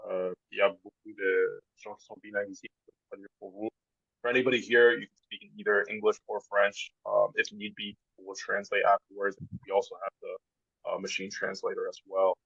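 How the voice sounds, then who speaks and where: A man moderating a video meeting, hesitant and filling pauses as he hands the floor to others. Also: lowish voice, flat delivery, gravelly, a bit bright, breathy edge, no echo. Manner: hesitant, full of fillers, switching between French and English. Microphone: video call. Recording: dull, dry video-call audio.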